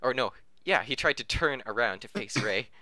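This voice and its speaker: A man's voice: mid-range voice, expressive, normal speed, bright, forceful tone, dry recording. A man reading fan fiction aloud on a podcast, stumbling over words and correcting himself, often amused and breaking into laughter. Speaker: podcast reader.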